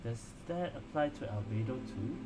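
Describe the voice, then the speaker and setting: A man casually commenting as a gamer on a story playthrough, halting and thinking aloud. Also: mid-range voice, animated, very noisy. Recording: loud game music behind voice. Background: game music and dialogue.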